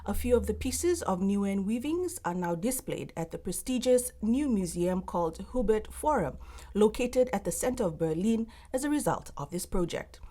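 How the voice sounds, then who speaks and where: A woman narrates a news report in a mellow, warm voice. Her delivery is steady and careful, and her pitch is middling for a woman. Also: moderate pace. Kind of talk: scripted news voice-over.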